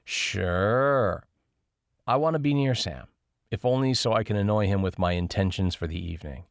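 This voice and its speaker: A man's voice: on the low side, quick pace, darkish tone, dry recording, clean studio sound. A man reading a first-person travel memoir as scripted audiobook narration.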